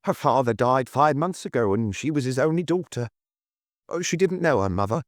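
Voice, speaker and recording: Guy doing a nervous bookkeeper in an audiobook, pitched up a bit, guarded and careful. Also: lively intonation, normal speed, no room sound, studio quality, close to an everyday voice. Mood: guarded, uneasy. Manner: guarded, hedging answers. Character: nervous, cautious male company bookkeeper. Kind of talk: audiobook character dialogue.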